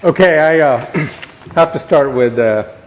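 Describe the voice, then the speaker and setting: A man's voice: fairly high pitch, slow pace, slight background noise. A young man giving a humorous, self-deprecating speech to an audience, telling stories about where he grew up. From born in Ghana, grew up in Africa, Asia and the Caribbean. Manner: self-deprecating, joking, storytelling. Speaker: guest speaker. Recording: echoey hall.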